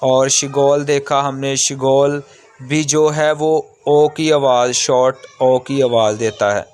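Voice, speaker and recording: A man giving an informal, step-by-step language lesson in a halting, repetitive way, explaining as a teacher does. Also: medium pitch, steady tone, darkish tone. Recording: clearly noisy background.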